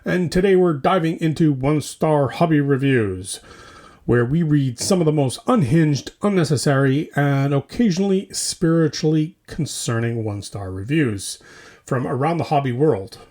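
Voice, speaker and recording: A man's voice: on the high side, moderate pace, a little mellow. A man hosting a comedy podcast, reading scripted mock news in a theatrical, tongue-in-cheek way with punchy short phrases.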